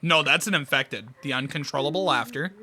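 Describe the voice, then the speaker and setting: A man's voice: fairly high pitch, fairly quick, background noise. A young man giving blunt, casual reaction commentary as a video host, recorded in a small room.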